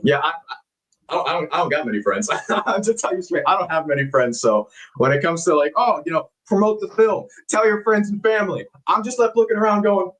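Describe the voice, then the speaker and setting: A man's voice: high-pitched, lively intonation, brisk, fairly bright tone, rough recording. A man appearing as a guest actor on a casual talk show, chatty and self-deprecating, laughing at himself as he tells stories. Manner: hesitant, many fillers and restarts. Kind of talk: casual interview chat.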